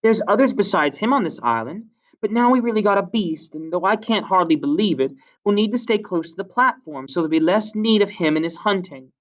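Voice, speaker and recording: A man's voice: high voice, lively intonation, brisk, few pauses, distant mic, muffled recording, voice pushed up. A man voicing an indignant, earnest young boy who argues in colloquial, insistent fashion in a storybook reading.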